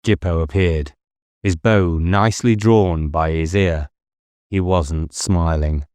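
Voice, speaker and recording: Man, lowish pitch, scripted novel narration, measured and dramatic, very dry recording. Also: steady tone, darkish tone, smooth, no echo. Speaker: audiobook narrator.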